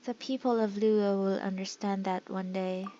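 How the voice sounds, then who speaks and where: A woman's voice: mid-range voice, fairly even intonation, moderate pace, mellow. A woman giving casual, sentimental reactions as she plays through a video game, recorded on a lo-fi microphone. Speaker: game streamer. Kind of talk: casual playthrough commentary. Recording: dull lo-fi microphone.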